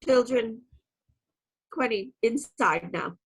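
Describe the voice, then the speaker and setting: A woman's voice: fairly high pitch, slow, husky, full character voice, low-quality recording. A woman playing a stern, devout churchwoman in a radio play, prim and reproving. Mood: grave, protective. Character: stern, devout female churchwoman running an orphanage.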